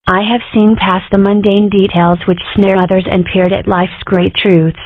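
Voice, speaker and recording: A woman's voice: medium pitch, flat delivery, moderate pace, no echo, natural voice. A woman voicing a brash, self-important little cartoon girl who rambles and makes grand declarations, in a comic strip dub. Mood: grandly self-assured. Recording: muffled, phone-like narrow audio.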